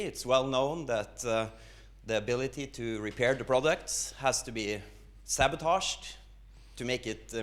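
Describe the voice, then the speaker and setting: A man in the audience at an industry expo asking the presenter two measured, curious questions, with a few fillers. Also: medium pitch, fairly bright tone.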